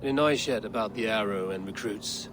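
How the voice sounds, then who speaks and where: A man's voice: lowish voice, fairly quick, noisy background, lightly acted. A man playing a stern, principled Viking warrior in a video game, speaking in a grave, terse and resolute manner. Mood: businesslike. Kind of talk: dramatic video game acting.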